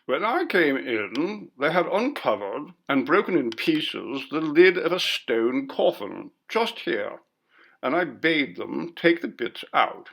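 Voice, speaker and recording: A man's voice: fairly high pitch, sing-song, slow pace, gravelly, a bit bright, pitched above the performer's own voice, full character voice. A man voicing a pompous, curt college bursar in a ghost story reading, with a disapproving manner. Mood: grave recounting.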